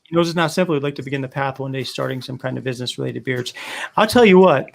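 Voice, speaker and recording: A man from Rhode Island hosting a casual livestream chat, telling stories in a lively, chatty way. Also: mid-range voice, fast talker, vocal fry. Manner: chatty storyteller, animated when telling a joke.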